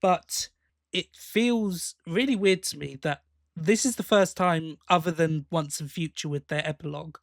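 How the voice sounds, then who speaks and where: A man's voice: fairly high pitch, unhurried, vocal fry, no echo, studio quality. A man co-hosting a podcast, chatting casually about audio dramas, with hesitant starts and repeated words. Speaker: podcast co-host.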